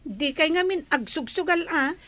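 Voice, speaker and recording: An outspoken young Ilocano daughter played by a woman in a radio play. Her voice is very high and sounds dry and close. She complains and scolds reproachfully. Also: expressive, normal speed, a bit bright, dry recording. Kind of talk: radio drama acting.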